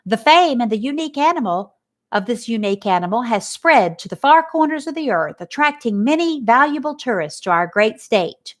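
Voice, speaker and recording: A woman reading aloud and voicing a pompous male fair loudspeaker announcer with a grand, booming delivery. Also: lightly acted.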